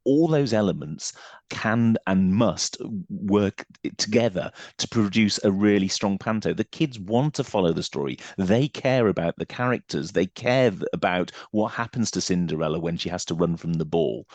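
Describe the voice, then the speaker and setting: A man's voice: sits low, no echo. A man giving thoughtful interview answers as a company director, explaining things articulately and with emphasis. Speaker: theatre company managing director, podcast guest.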